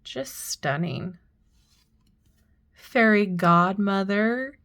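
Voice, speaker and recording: A woman unboxing and reviewing a tarot deck, making soft, admiring remarks as she turns the cards. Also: mid-range voice, lively intonation, extremely slow. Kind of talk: unboxing commentary.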